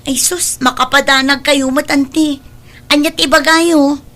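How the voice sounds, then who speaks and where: A woman's voice: high voice, fairly even intonation, a little slow, sharp tone. A woman playing a worried, dutiful young working daughter in an Ilocano audio drama, earnest and anxious, with an Ilocano accent. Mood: alarmed, anxious.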